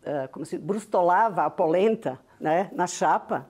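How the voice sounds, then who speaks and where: A woman's voice: on the low side, animated, normal speed, a little mellow, breathy edge. An older woman from southern Brazil, whose first language was Venetian Italian, speaking with a heavy accent and telling her story as a guest on an interview show with frequent tag questions. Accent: Italian-Venetian-influenced Brazilian Portuguese from Rio Grande do Sul. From southern Brazil (Rio Grande do Sul). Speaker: guest, business founder.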